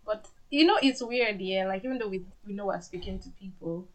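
A woman's voice: medium pitch, fairly quick, rough recording. Laid-back woman podcast co-host. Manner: laid-back, laughs easily. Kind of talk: casual chat.

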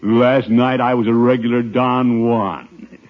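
An actor playing a pompous, blustering middle-aged uncle in a theatrical 1950s radio comedy style. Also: male voice, medium pitch, steady tone, takes it slowly, lightly acted. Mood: smug, boastful. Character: pompous, flustered middle-aged uncle, boastful but easily cowed. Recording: muffled vintage 1950s radio recording.

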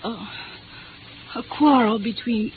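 Anxious dutiful daughter, tinny radio. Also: female voice, on the high side, takes it slowly. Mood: anxious pleading. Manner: hesitant, formal, pleading. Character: frightened, dutiful young Chinese daughter, earnest and formal. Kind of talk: 1950s radio drama acting. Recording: tinny 1950s radio recording, faint noise.

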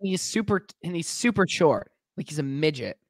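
A man's voice: a little high, expressive, normal speed, no room sound. A man co-hosting a podcast with a nasal, congested voice from a cold, bantering in a dry, teasing way. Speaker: podcast co-host. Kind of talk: casual chat.

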